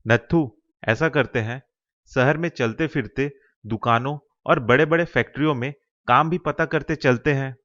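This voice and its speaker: Casting: male actor as ambitious young village man for a cartoon moral tale; earnest, persuasive, mid-pitched, slightly muffled lo-fi sound. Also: moderate pace, a bit bright, lightly acted. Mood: decisive. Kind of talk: Hindi cartoon moral-story voice acting.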